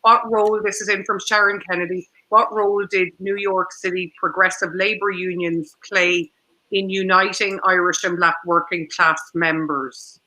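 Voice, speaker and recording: Male panel moderator: sharp, very bright tone, narrow intonation, wordy and tentative when posing questions. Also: high-pitched, level tone, moderate pace.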